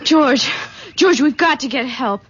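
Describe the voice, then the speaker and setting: An actress playing an excitable, frightened young woman in an old radio drama, urgent and breathless in her exclamations. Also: high-pitched, measured pace, a crowd in the background. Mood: shaken, urgent. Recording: dull old radio recording, faint noise.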